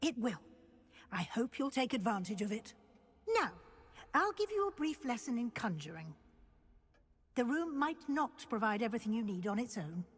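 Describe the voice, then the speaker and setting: An actress playing a composed, encouraging middle-aged professor of magic in a video game, speaking in a measured, formal, instructive way. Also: female voice, a little high, very animated, slightly rough. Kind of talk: scripted video game dialogue. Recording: long hall-like reverb.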